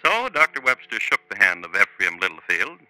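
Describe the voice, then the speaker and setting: A man hosting and narrating 1950s radio crime drama in dry, deliberate, clipped phrases. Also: lowish voice, sing-song, sharp tone, poor audio. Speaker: host and narrator.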